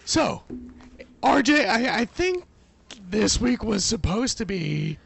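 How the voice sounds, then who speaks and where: Hoarse gravelly-voiced man co-hosting. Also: high-pitched, slow pace, soft background music. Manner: relaxed, rambling, trailing off with fillers. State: hoarse, losing his voice. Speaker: podcast co-host. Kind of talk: casual chat.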